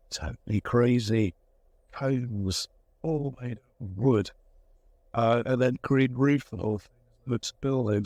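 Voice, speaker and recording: Male craftsman interviewee, unhurried and reflective, halting phrasing, casual tone, dry sound. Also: medium pitch, darkish tone, no room sound. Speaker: woodworker, craftsman being interviewed.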